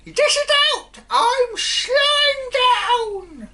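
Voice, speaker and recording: A woman's voice: very animated, extremely slow, full character voice. Screechy, whooping old grandmother. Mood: wild glee. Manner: whooping, shouting high and gleeful. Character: screechy wild old grandmother, gleeful and greedy.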